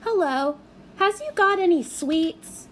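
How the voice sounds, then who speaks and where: A woman's voice: extremely high pitch, expressive, slow, slight background noise, pitched above the performer's own voice, exaggerated voice. A woman voicing a spoiled, sulky small girl with babyish grammar. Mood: whiny. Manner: childish grammar, whiny.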